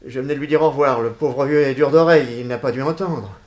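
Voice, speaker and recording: An actor playing an indignant, bewildered young man accused of murder, protesting haltingly in a 1960s French radio drama. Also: mid-range voice, darkish tone, lightly acted. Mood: anxious recollection.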